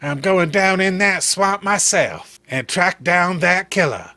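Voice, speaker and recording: A man acts out a skeptical country sheriff from a horror comic, folksy and blustering. His voice is slow, gravelly and forceful, full of stubborn determination. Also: sits high, lively intonation, no echo, full character voice. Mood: stubborn resolve.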